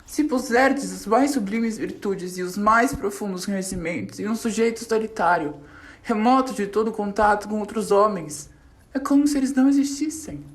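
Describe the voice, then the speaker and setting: A woman's voice: medium pitch, normal speed, natural voice. A young Brazilian woman playing a solemn, learned 108-year-old male monk who teaches his doctrine in a radio drama. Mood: solemn, didactic. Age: teenager or young adult. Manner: solemn, didactic, declaiming. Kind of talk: student radio drama of literary classic.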